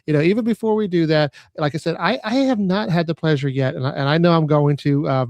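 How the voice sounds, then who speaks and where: A man hosting a podcast interview in casual chat, often stammering, repeating words and filling pauses. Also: on the high side, darkish tone, dry recording. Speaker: podcast host.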